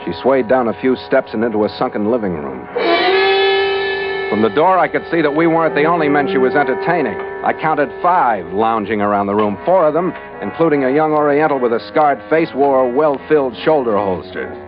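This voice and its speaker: An actor playing a hardboiled, wisecracking American adventurer, narrating in terse, sardonic 1940s radio detective style. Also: male voice, medium pitch, lively intonation, a little fast, close to an everyday voice. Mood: grim, wry. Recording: muffled old radio recording with music underneath.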